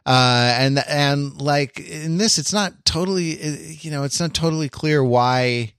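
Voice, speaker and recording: Halting, searching man in a dry room. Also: on the high side, fairly bright tone, smooth, dry recording. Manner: halting, searching, repeats phrases as he thinks aloud. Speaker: podcast co-host. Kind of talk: casual film discussion.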